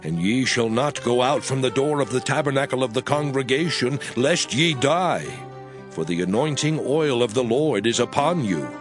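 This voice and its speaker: A commanding prophet and leader acted by a man delivers scripture drama with solemn, declamatory weight. His pitch swings widely as he gives grave orders, with faint music beneath. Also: expressive, normal speed, close to an everyday voice. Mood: grave, stern.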